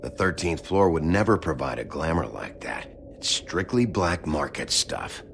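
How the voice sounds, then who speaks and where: A man's voice: extremely low pitch, very animated, moderate pace, gravelly, a bit bright, slight background noise, exaggerated voice. An actor playing a terse, menacing wolf-man sheriff in a video game, dry and confrontational. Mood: stern.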